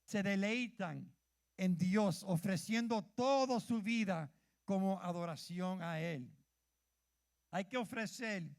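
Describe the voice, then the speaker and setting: Fervent high-voiced man preaching. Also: gravelly, darkish tone. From Puerto Rico. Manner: fervent, calls out questions to the congregation, stumbles over words. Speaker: church leader preaching. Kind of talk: sermon.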